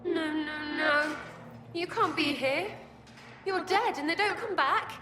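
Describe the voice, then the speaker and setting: A woman's voice: sits high, slow, bright, forceful tone, faint music behind, heavily acted. An actress playing a frightened, bitter young rebel archer in a video game, blunt and jittery in her speech. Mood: panicked. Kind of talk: dramatic video game cutscene acting. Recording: echoey room with faint noise.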